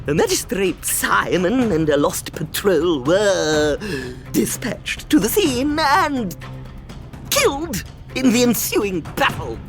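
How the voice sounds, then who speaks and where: A woman's voice: mid-range voice, very animated, takes it slowly, faint music behind. A woman playing a pompous, fussy male royal adviser with a British accent in an audio drama table read. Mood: grave, declamatory. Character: fussy theatrical male royal adviser who spins and dithers.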